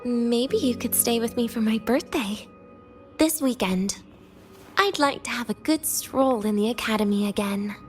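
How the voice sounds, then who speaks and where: An actress voicing a weary, teasing, guarded young woman in a video game, speaking with wry, breathy pauses. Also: a little high, faint music behind, natural voice. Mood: sly, intrigued.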